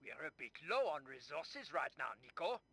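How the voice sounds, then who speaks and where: Sharp, bossy newspaper chief. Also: male voice, a little high, very animated, moderate pace, slightly rough, no echo, exaggerated voice. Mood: impatient. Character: gruff, bossy male newspaper boss. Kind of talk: adventure game voice acting.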